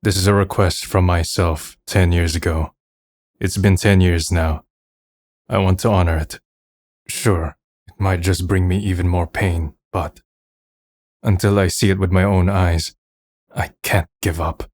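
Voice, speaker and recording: A man's voice: deep voice, steady tone, measured pace, long pauses, a little mellow. A man playing a melancholy, resigned young man, subdued and introspective, in a manga dub. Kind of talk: first-person narration and dialogue in manga dub.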